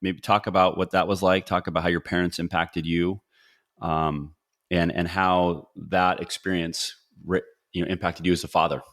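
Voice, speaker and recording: A man's voice: deep voice, flat delivery, normal speed, fairly bright tone. A middle-aged man hosting a podcast, interviewing in a casual, rambling way with questions that come in several parts. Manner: rambling, multi-part questions. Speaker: podcast host.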